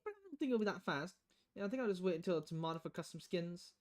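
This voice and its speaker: A woman's voice: lowish voice, animated, fast talker, a little hiss or hum. A woman streaming a game, reading visual novel dialogue aloud and acting it out with changing voices.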